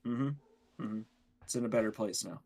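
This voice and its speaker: A man co-hosting a podcast in casual chat, thinking aloud in a halting, hedging way. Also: lowish voice, fairly even intonation, creaky, darkish tone. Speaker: podcast co-host.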